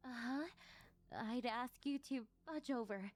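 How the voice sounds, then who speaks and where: A woman voicing a lonely, needy female sleep paralysis demon in an intimate ASMR roleplay, hesitant and soft-spoken. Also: a little high, a little slow, close to an everyday voice. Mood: tender, hopeful.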